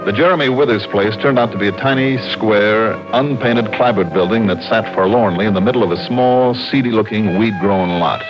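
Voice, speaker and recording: An American actor playing a wry, slangy, hard-boiled insurance investigator in an old-time radio detective drama. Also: male voice, lowish voice, normal speed, hardly any pauses, natural voice. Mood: matter-of-fact narration. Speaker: lead actor and narrator. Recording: muffled vintage radio recording with music.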